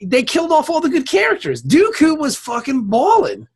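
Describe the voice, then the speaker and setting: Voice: high-pitched, wildly swooping pitch, moderate pace. A podcast host chatting casually and bluntly, with plenty of swearing. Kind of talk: casual panel chat.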